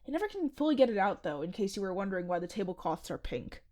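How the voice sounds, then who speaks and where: A woman's voice: mid-range voice, very animated, fast talker, warm tone, close to an everyday voice. A young woman acting a strange, cheerfully nerdy coworker in a comedic audio drama, matter-of-fact and teasing. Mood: breezy. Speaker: restaurant janitor.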